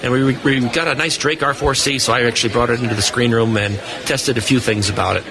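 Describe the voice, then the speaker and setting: A man's voice: mid-range voice, steady tone, fast. A man speaking as an expert guest on a live show, explaining technical points casually and with enthusiasm. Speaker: radio lab expert guest. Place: busy hamfest exhibit hall, live booth. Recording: live from a crowded convention floor. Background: crowd noise.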